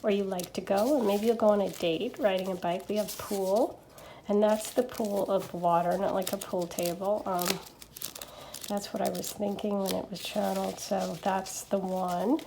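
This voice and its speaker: A woman with a stuffy nose from allergies, chatting gently through a tarot reading with lots of fillers and little checks. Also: mid-range voice, steady tone, normal speed, gravelly, background noise. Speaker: tarot reader. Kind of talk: casual pick-a-card tarot reading.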